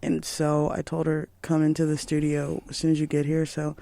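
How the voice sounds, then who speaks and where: A young woman co-hosting a college radio show, sounding hoarse and throaty, talking in a laid-back, frank way. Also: sits low, monotone, normal speed, warm tone, no echo. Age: young adult. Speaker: co-host. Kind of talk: casual chat.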